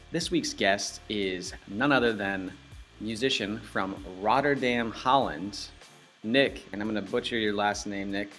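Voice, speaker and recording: Lower-voiced upbeat male podcast host. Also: bright, forceful tone. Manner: upbeat, chatty storyteller. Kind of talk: casual podcast interview.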